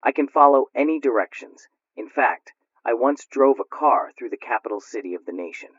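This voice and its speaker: A man's voice: mid-range voice, lively intonation, normal speed, breathy edge, dry recording. Dry, muffled, forlorn young suitor acted by a man. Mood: earnest. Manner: formal, melodramatic, earnest. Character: forlorn, earnest, overwrought young male suitor. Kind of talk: radio drama acting. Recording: dull, close, dry recording.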